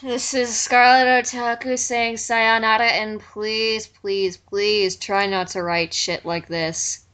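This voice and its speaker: A woman's voice: on the high side, fairly even intonation, takes it slowly, few pauses, fairly bright tone. A woman giving blunt, exasperated critique in a casual group conversation. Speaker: online video commentator.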